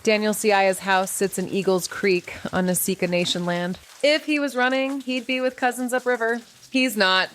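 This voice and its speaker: A dogged woman investigator played by an actress in a noir audio drama. She is dry and challenging, with terse, clipped phrasing and a medium-pitched voice. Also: fairly even intonation, normal speed, hardly any pauses, a bit bright, a little hiss or hum, close to an everyday voice.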